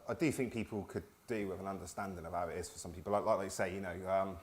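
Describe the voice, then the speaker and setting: A man's voice: sits low, steady tone, fast talker. A middle-aged man who is a guest on a cooking show, chatting casually, hesitant and repeating words. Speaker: guest musician. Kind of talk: casual chat.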